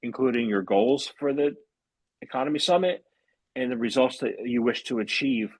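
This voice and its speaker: Husky male podcast host, upbeat. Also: medium pitch, normal speed, no echo. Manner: upbeat, repeats words when unscripted. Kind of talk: podcast interview hosting.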